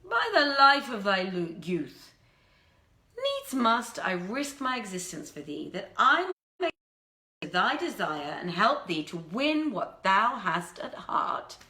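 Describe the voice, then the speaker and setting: A woman's voice: mid-range voice, big pitch swings, slow pace, pushed and bright, roomy sound, full character voice. A woman voicing a devoted, cunning old woman go-between in a storybook, tender and dramatic in archaic phrasing.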